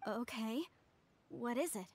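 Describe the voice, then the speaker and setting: A woman's voice: a little high, animated, slow pace, slight background noise. An actress playing an earnest, principled, proud young woman archaeologist in an anime game, speaking with formal conviction. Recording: music behind the voice.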